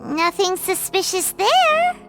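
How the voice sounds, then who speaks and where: A tiny floating game companion girl with a very high, squeaky voice that leaps up and down. She is chirpy, nosy and excitable, and she speaks of herself in the third person. Game music plays clearly behind her. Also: exaggerated voice. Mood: excited. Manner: talks about herself in third person, quick exclamations. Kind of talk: video game cutscene dialogue. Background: background game music.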